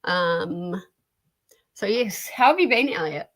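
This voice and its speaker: A woman's voice: lowish voice, expressive, takes it slowly, a bit bright. A woman hosting a reading livestream, chatting casually and informally about books. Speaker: host of a reading sprint livestream.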